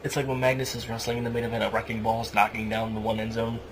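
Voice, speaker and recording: A man hosting a casual podcast chat, excitable and often repeating his phrases. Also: on the low side, fast talker. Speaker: podcast host. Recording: clearly noisy recording with clicks.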